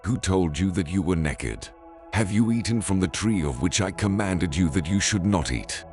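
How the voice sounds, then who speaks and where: A man's voice: sits low, lively intonation, normal speed, a little mellow. A man playing God as a stern, solemn and authoritative judge in a dramatized Bible reading. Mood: stern questioning. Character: God, stern commanding male judge pronouncing curses. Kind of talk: dramatized Bible audio acting. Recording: background music under the voice.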